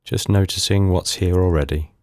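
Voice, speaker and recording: A man leading a guided meditation in calm, unhurried, soothing short phrases with pauses between them. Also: low voice, no room sound, studio quality. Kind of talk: guided body scan meditation.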